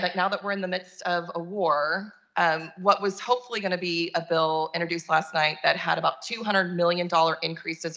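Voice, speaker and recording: A woman's voice: fairly low pitch, gravelly, a bit bright, poor audio. A woman giving a conversational, earnest keynote talk over a video call. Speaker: keynote speaker, nonprofit chief executive.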